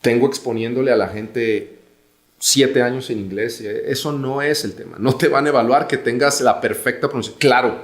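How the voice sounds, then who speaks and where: Mexican man, measured career advice. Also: mid-range voice, reverberant. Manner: measured, explanatory, repeats phrases for emphasis. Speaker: guest.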